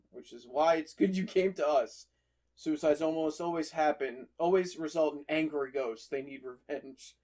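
Man reading a story aloud, slightly high. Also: darkish tone. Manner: reads aloud and breaks off for jokey asides. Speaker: podcast host reading a horror story aloud. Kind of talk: casual story reading with banter.

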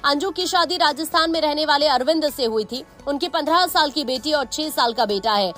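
A woman's voice: sits high, brisk, fairly bright tone. A woman with an Indian accent reading a scripted news report as narrator. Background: background music.

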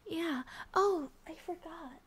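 Sleepy, affectionate student girlfriend for ASMR roleplay, played by a woman: high, soft, hesitant, unhurried delivery. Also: heavily acted. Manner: soft, drowsy, hesitant, giggly.

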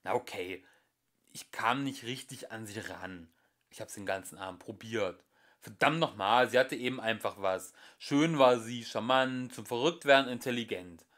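A man's voice: on the low side, moderate pace, a bit bright, close to an everyday voice. A man doing a curt, frosty earthman. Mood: bitter. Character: irritated, stubborn ordinary male earthling.

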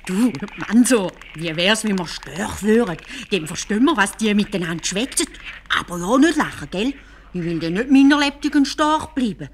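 A pampered, excitable storybook caliph acted out by a woman with a Swiss German accent. He speaks expressively, full of sighs and exclamations. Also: mid-range voice, normal speed, a little hiss or hum, voice pushed up, natural voice. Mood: playful, delighted. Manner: drawling and sighing, or gushing with exclamations. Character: bored, excitable, pleasure-loving male caliph.

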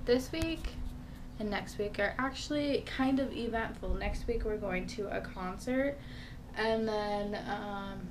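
A woman's voice: medium pitch, noisy background. Chatty young woman vlogging at home. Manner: chatty, many ums, excited. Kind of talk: casual vlog chat. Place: small home room with fan, indoors. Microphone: action camera held at arm's length.

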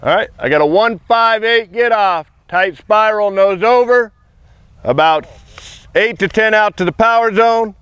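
High, clear-voiced man calling punt stats. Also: a bit bright. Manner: brisk, repetitive stat calls. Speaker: kicking coach timing punts. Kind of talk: clipped play-by-play evaluation. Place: outdoor football field.

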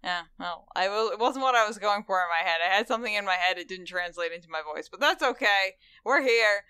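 A woman's voice: mid-range voice, expressive, fairly quick, sharp tone, clear, resonant tone, no echo. Brief: female podcast co-host, gushing and upbeat, dry close mic. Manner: enthusiastic, gushing. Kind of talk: casual chat.

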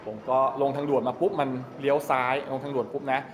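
A man's voice: medium pitch, little pitch movement, fast talker. Chatty man in a noisy, echoey hall. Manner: chatty, explanatory, rambling. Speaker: vlogger giving a tour. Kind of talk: casual walk-through explanation to camera. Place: large open-sided go-kart pit hall. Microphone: 360 camera at arm's length. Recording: roomy echo, loud ambient noise.

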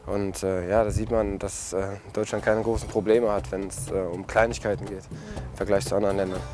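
A young man in his twenties from Germany, answering interview questions outdoors in a relaxed way, with many fillers and restarts. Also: fairly low pitch, fairly quick, over music. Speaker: interviewee, footballer. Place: outdoors at a stadium and a café terrace.

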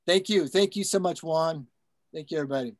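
A man's voice: a little high, fairly quick, slight background noise. A man chatting casually and appreciatively after a poetry reading, with self-effacing, joking remarks. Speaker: poet who has just given a reading.

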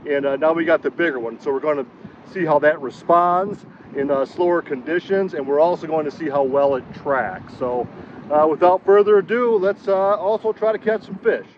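A man's voice: a little high, normal speed, muffled recording, a little hiss or hum. A man casually reviewing a fishing kayak while out on the water, talking things through with lots of fillers. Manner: easygoing, lots of uh fillers, explains as he goes. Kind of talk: casual outdoor review vlog. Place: on a kayak out on open bay water.

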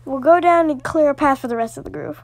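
A woman voicing amateur character dialogue for an animated story. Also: sits high, fast. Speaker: creator voicing all characters of an animated series.

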